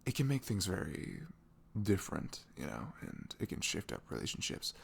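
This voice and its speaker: A man playing a sweet, caring young boyfriend in an intimate ASMR roleplay, chatting casually and rambling in a velvety low voice. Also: sing-song, normal speed, creaky, a little hiss or hum, exaggerated voice. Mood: open, musing. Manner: chuckling, informal, loosely rambling.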